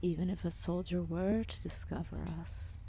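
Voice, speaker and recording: A woman voicing an ancient, lethargic serpent goddess, protective and calmly menacing, in a formal, soothing, intimate ASMR roleplay with whispered phrases. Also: fairly low pitch, normal speed, slight background noise, close to an everyday voice. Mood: soothing, drowsy. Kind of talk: ASMR roleplay, intimate soft-spoken acting.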